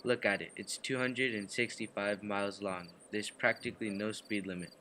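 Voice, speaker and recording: An actor playing a chatty, plain-spoken gas station attendant in a radio play. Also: male voice, fairly low pitch, fairly even intonation, normal speed, pushed and bright, a little hiss or hum. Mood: incredulous, blunt. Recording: faint night cricket ambience behind.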